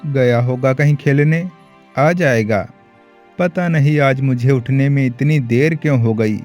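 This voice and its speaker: A man playing a poor, timid farmer and devoted family man in an animated story, speaking earnestly. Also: medium pitch, unhurried, soft background music, natural voice. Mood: concerned. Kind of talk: Hindi animated moral story acting.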